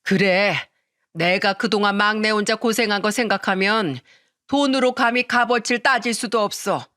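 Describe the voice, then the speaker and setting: A woman's voice: mid-range voice, normal speed, bright, forceful tone, lightly acted. A woman voicing a stern elderly mother-in-law who scolds bluntly in a dramatic family story. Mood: angry scolding. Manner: firm, reproachful, blunt.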